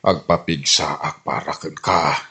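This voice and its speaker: A man acts out a frail, elderly sugar daddy who dotes on his sweetheart. The voice is extremely deep and raspy, drawn out slowly in comedy radio-drama style. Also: fairly even intonation, pushed and bright, full character voice. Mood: feeble, tender. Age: older. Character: weak, doting old sugar daddy near death.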